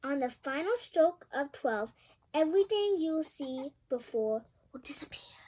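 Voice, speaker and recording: A woman voicing a kindly, commanding storybook fairy godmother who gives expressive instructions. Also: high voice, a little slow. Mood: instructing.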